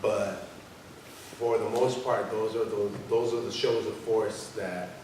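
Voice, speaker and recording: A man explaining in a reflective discussion, halting and repeating phrases for emphasis, recorded in a large room on a distant microphone. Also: lowish voice, fairly even intonation, a little mellow. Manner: halting, searching, emphatic repetition. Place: large indoor arts-center room. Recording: very echoey room, distant mic, background noise.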